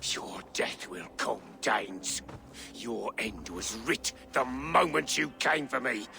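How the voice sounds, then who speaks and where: A man's voice: mid-range voice, animated, slow, gravelly, pushed and bright, slight background noise, heavily acted. An actor playing a proud, embittered captive king, haughty and dramatic, in a video game cutscene. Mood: cold menace.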